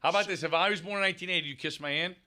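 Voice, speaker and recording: A man's voice: high-pitched, very fast, bright, forceful tone. A man in his early forties bantering casually, informal and teasing. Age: early 40s.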